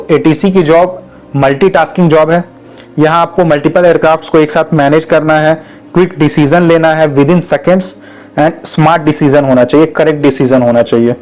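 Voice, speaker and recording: Fairly high-voiced man explaining step by step. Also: darkish tone, poor audio. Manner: patient explaining, many lists and repetitions; mixes English terms into Hindi. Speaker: educational video host. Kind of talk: explanatory lesson.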